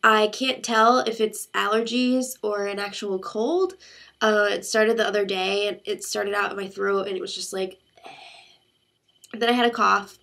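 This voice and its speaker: A woman's voice: mid-range voice, normal speed, vocal fry, fairly bright tone. A young woman with a congested sick voice, chatting in a rambling, talkative way to her viewers. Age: young adult. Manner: chatty, rambling storytelling with many fillers. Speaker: vlogger. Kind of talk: casual chat to camera.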